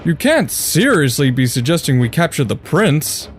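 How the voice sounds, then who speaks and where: A man's voice: fairly high pitch, very animated, normal speed, a little hiss or hum. A man playing an anxious, earnest pony guard who pleads for reason in a cartoon fan drama. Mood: hurt, reluctant. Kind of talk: fan audio drama acting.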